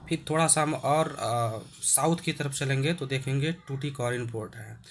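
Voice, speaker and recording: A man with a sore throat, explaining an exam-prep lesson with many hesitations and frequent throat clearing. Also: medium pitch, moderate pace, slight background noise.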